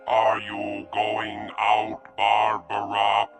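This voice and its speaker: An actor playing a literal-minded male robot, speaking in a flat, measured way in a radio drama. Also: lowish voice, faint music behind. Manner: flat, measured, emotionless delivery.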